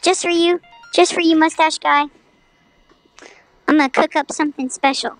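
A woman's voice: high-pitched, fairly even intonation, normal speed, no room sound, soft background music. A woman streaming a video game with chatty, playful, mock-dramatic commentary. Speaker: gaming streamer. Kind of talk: casual livestream gameplay commentary.

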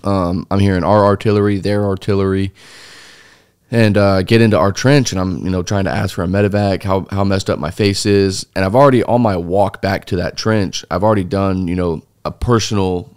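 A man's voice: sits low, steady tone, a little fast, darkish tone, clear, resonant tone, dry recording, clean studio sound. A man, a guest on a podcast telling a long story in a loose, rambling way with many fillers and restarts. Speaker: interview guest, former foreign volunteer fighter. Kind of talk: casual interview storytelling. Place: small dark podcast studio. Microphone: studio mic on boom arm.

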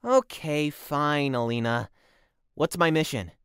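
A voice actor playing a cocky, bumbling young rookie spy in an animated web series, boastful and easily flustered. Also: mid-range voice, measured pace, no room sound, clean studio sound. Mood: sulky. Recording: music behind the voice.

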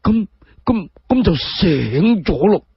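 Male storyteller as sorrowful old Cantonese sage, husky, very slow, halting, troubled, radio drama. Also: high voice, very animated, no room sound, dull audio, heavily acted. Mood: troubled, fearful. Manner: drawn-out, halting, repeating words. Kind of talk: one-man radio drama acting.

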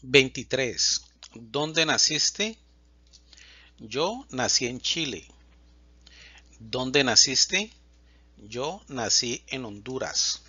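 A man teaching a Spanish lesson, reading example questions and answers slowly and distinctly. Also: mid-range voice, lively intonation, bright, forceful tone, slightly rough, dry recording, lo-fi sound. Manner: reads questions and answers slowly and distinctly, pausing between lines. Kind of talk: slow, clear language lesson reading example dialogues.

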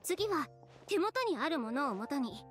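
A woman playing a confident, blunt and determined young fashion designer in an anime video game, speaking in a brisk, decisive manner. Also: high voice, very animated, fairly bright tone, exaggerated voice. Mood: composed. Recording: game music behind the voice.

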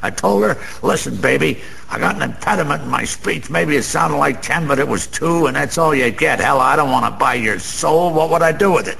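An actor playing a garrulous small-time American gambler in a radio play, slangy, wisecracking and lonely, with a gravelly voice. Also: male voice, a little high, expressive, brisk, few pauses, sharp tone, exaggerated voice. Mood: scornful, wisecracking. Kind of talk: theatrical radio-play monologue. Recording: long room reverb, faint noise.

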